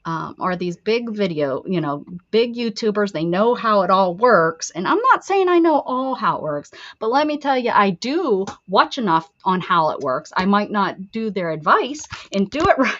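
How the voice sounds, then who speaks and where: A woman's voice: mid-range voice, very animated, normal speed, hardly any pauses, fairly bright tone, lo-fi sound. A woman with a mixed northern and Southern accent, chatting casually and rambling on a livestream, laughing often. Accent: mixed Pittsburgh and Texas. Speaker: craft channel host.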